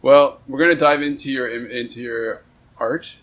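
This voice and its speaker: A man's voice: mid-range voice, fairly even intonation, normal speed, a bit bright, rough recording. A man hosting a casual, conversational interview in an effusive, complimentary way. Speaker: interviewer and show host. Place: on a houseboat, seated at a table. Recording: clear background noise and ambience.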